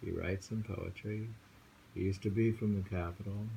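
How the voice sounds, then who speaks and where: A man's voice: deep voice, steady tone, normal speed, gravelly, warm tone, a little hiss or hum, low-quality recording. A man reading poems aloud and musing on them in casual chat, with frequent thoughtful hums. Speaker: poetry reader and host.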